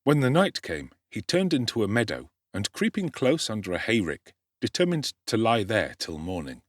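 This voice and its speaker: A man giving a dramatic, expressive audiobook narration of a classic novel, telling the story in a measured way. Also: lowish voice, no echo, clean studio sound.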